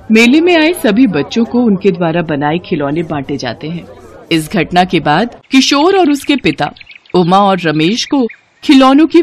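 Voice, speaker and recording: A woman's voice: on the low side, a little hiss or hum. A woman narrating a scripted moral story for children as a storyteller.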